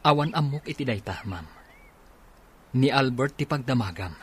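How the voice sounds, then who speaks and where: A man's voice: mid-range voice, animated, moderate pace, very noisy, natural voice. A man with a Filipino accent playing a politely evasive, loyal friend in a radio drama. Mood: guarded.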